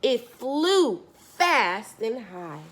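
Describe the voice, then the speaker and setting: High-voiced woman reading animatedly to children. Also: big pitch swings, drawn-out words. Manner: addresses young listeners directly, emphasising key words. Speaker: storyteller reading a picture book to children. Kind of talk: expressive children's read-aloud with questions to the listener.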